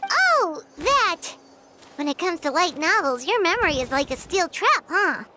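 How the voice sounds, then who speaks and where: A little childlike fairy companion for a video game. The voice is extremely high and very animated, with a perky, chatty manner. Music plays clearly behind it. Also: female voice, measured pace, exaggerated voice. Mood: cheerful.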